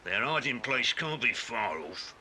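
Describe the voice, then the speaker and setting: Grumpy searching villain, wildly varied intonation, 1980s story tape, heavy background noise. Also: male voice, mid-range voice, very animated, measured pace. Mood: suspicious, determined. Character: grumpy male villain searching an island for children. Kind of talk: 1980s audio drama acting. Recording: very noisy old cassette dramatisation.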